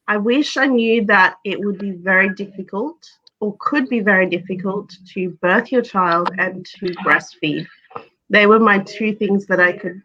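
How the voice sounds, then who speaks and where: A woman's voice: medium pitch, bright, forceful tone, dull audio. A woman hosting a casual live chat over a video call, asking her guests questions. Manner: chatty, leads with questions. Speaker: host.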